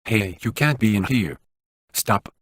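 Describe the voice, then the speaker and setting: A man's voice: on the low side, expressive, measured pace, breathy edge, dry recording, studio quality. A man voicing a jumpy, reluctant everyday guy in a video game, with a hesitant, uneasy way of talking. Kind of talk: scripted game voice lines.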